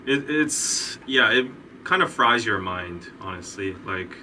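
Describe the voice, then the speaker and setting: A man hosting a podcast in casual, relaxed chat, teasing his guest and laughing easily. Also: fairly low pitch, takes it slowly. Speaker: podcast host. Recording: distant mic, faint background noise.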